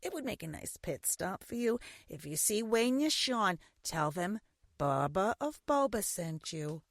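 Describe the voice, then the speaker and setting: A woman's voice: medium pitch, very animated, measured pace, creaky, no room sound, clean studio sound, heavily acted. A woman playing a gushing, doting yet imperious mother in a science fiction audio drama, with theatrical, cajoling delivery. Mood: doting, breezy. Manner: theatrical, effusive, cajoling with endearments. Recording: music under the voice.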